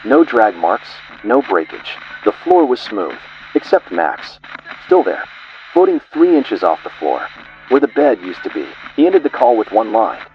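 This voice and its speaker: Low-voiced guy reading creepy horror narration, ominous and choppy, pitch jumps around a lot, muffled sound with light hiss. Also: very animated, unhurried. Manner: ominous, grave delivery in short clipped fragments.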